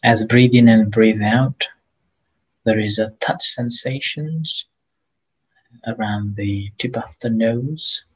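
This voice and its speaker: A man leading a guided meditation as a teacher, speaking gently and haltingly with long pauses between phrases, in a husky, breathy voice. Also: lowish voice, fairly even intonation, muffled recording.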